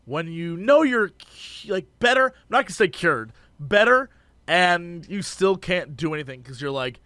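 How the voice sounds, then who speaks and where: A man co-hosting a casual podcast, hoarse from losing his voice, joking around and breaking into silly sung bits. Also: high-pitched, animated, moderate pace, no room sound. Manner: goofy, sings and shouts bits, jokey asides. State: hoarse, lost voice. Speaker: podcast co-host.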